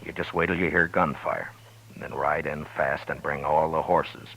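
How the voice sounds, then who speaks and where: An actor playing a steady, commanding male frontier marshal who also narrates, in a 1950s radio drama, calm and authoritative. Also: extremely low pitch, normal speed, slight background noise, poor audio. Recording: old radio broadcast with music bed.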